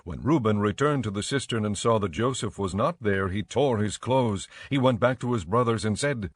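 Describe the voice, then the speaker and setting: A man narrates scripture from a script. His voice sits fairly low, and the studio sound is dry and close, with no room around it. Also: animated, normal speed, no room sound.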